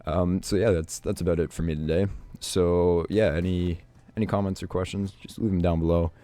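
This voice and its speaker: Teen male vlogger, very low pitch, mellow dark tone, raspy and congested from a cold, hesitant delivery. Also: fairly even intonation, a little fast. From Canada. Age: teens. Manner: hesitant, many restarts and fillers. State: sick, stuffed up, raspy. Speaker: YouTuber. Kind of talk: reflective advice vlog.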